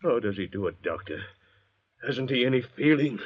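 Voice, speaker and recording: An actor playing a bitter, suspicious convict thief in an old radio drama, husky and sneering. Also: male voice, medium pitch, expressive, moderate pace, slight background noise, natural voice. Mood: resentful. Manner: sneering, surly. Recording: dull old radio recording.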